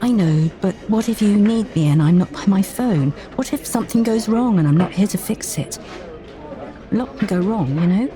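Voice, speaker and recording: A woman's voice: medium pitch, expressive, normal speed, crowd noise, pitched above the performer's own voice, close to an everyday voice. A woman voicing an anxious, overworked female boss of a fashion company, fretful and prone to muttering, in an audio drama.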